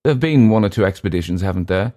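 A man's voice: low-pitched, animated, quick pace, dark tone. A man voicing a naive, eager young English adventurer for an audiobook, with a hesitant, apologetic, stammering manner and an English accent. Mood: eager, determined. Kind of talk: audiobook character dialogue.

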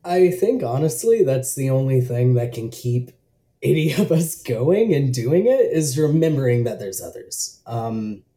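A woman's voice: very deep voice, sing-song, measured pace, dark tone. A woman guest on a podcast, talking candidly and bluntly, with casual swearing and easy laughter. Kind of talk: casual interview chat. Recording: roomy echo.

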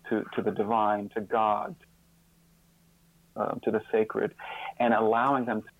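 A man speaking as an interview guest, explaining his ideas in a reflective, earnest way, often halting and repeating words. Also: on the low side, flat delivery, dry recording. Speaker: interview guest and author on caregiving. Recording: slightly phone-like, band-limited line.

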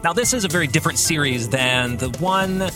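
A man hosting a solo podcast as an author, talking reflectively and personally, with emphatic phrasing and pauses mid-sentence. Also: fairly high pitch, over music. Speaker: podcast host and fiction author.

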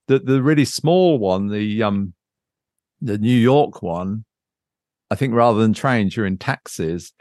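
A man's voice: fairly low pitch, animated, a little slow, a little mellow, dry recording, clean studio sound. A man chatting casually and unscripted as a podcast host, thinking aloud and searching for his words. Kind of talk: casual unscripted chat about board games.

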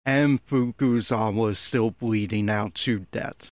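A man's voice: fairly low pitch, steady tone, a little slow, dry recording. Exasperated man giving critical commentary, dry recording. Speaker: podcast host giving audio commentary. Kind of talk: critical reaction commentary on an anime episode.

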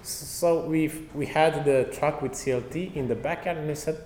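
Animated man in a roomy hall. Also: a little high, normal speed. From Romania. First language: Romanian and Hungarian. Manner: animated storyteller, acts out quotes, many fillers. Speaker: guest, passive house trainer. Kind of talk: casual interview chat. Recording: roomy reverberant hall, faint background noise.

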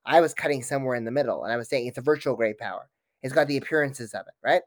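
A man's voice: medium pitch, rapid-fire, no echo. A man speaking as an expert guest in an interview, giving analytical, wordy answers with frequent false starts.

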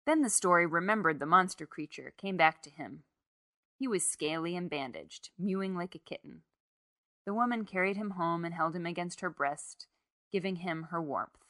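A woman's voice: on the low side, animated, normal speed, sharp tone, no room sound, clean studio sound. A woman reading a literary short story aloud as scripted narration.

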